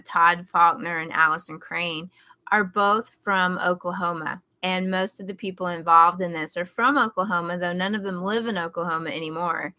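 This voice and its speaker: American lady podcaster just chatting, lowish voice, kinda muffled lo-fi sound. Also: no room sound. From rural Midwest United States. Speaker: podcast co-host. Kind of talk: casual podcast recommendation chat.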